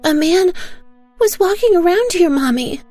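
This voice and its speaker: A woman's voice: very high voice, expressive, unhurried, dark tone, voice pushed up. A woman voicing a frightened little girl in an audio drama, pleading and wailing to her mother over background music. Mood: frightened, insistent.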